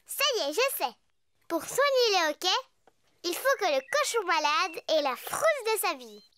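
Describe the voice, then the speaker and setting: A performer voicing a bossy, excitable little girl pig in a French children's cartoon, perky and cheeky. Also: very high-pitched, a little slow, a little hiss or hum, exaggerated voice.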